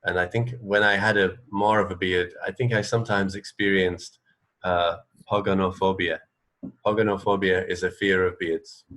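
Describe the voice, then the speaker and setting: A man's voice: sits low, little pitch movement, moderate pace, fairly bright tone. A man co-hosting a radio show, sharing trivia in casual conversation.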